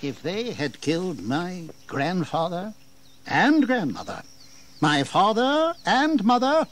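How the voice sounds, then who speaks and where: High-voiced, indignant colonial governor. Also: male voice, a bit bright, full character voice. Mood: sarcastic, exasperated. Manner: oratorical, emphatic, deliberate. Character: indignant colonial governor of Virginia, authoritative, bitterly sarcastic. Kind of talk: dramatized historical audio drama. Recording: 1980s audio drama with background noise.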